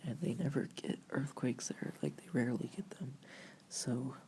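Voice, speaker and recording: A narrator from the United States whispering softly and breathily through an informal, rambling slideshow in ASMR style. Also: mid-range voice, normal speed. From United States, family from Virginia. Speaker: video creator and host.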